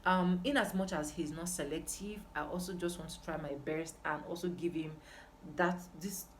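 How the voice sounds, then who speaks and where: Young nigerian lady vlogging, lowish voice, chatty n emphatic, bit of background noise. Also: steady tone, normal speed. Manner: emphatic, repeats phrases for stress. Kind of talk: casual chat to camera.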